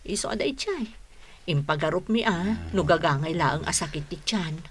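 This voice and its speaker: A woman's voice: lowish voice, animated, moderate pace, close to an everyday voice. A woman playing a worried, plain-spoken married market vendor in an Ilocano radio drama. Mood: grateful, concerned.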